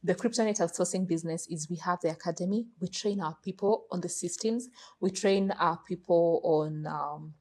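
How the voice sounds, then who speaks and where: Kenyan woman, lowish earnest voice. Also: normal speed, darkish tone. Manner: explains at length, earnest and matter-of-fact. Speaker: guest, virtual assistant business owner. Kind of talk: interview answers.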